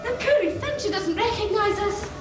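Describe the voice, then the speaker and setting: A woman's voice: extremely high pitch, lively intonation, moderate pace. A woman with a Scottish accent playing a cheery, exclamatory good fairy in an amateur Christmas pantomime. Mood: gentle, reassuring. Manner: exclamatory, broad stage delivery. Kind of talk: amateur stage pantomime acting. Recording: echoey 1980s hall video, distant, music, noisy audience.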